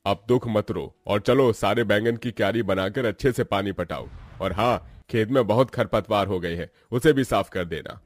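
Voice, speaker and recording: A man's voice: fairly low pitch, moderate pace, few pauses, dry recording, clean studio sound, natural voice. A man voicing a greedy, callous landowner in a cartoon story, scolding and haughty. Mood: bossy, scolding. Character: greedy, callous rich landowner bossing his farm labourers. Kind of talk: Hindi cartoon story voice acting.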